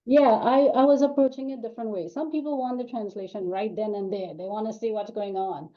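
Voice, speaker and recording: A woman's voice: medium pitch, fairly even intonation, brisk. An older woman from Trinidad and Tobago, a guest author chatting casually in an interview, talking in loose runs with many ums and restarts. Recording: dull lo-fi call audio.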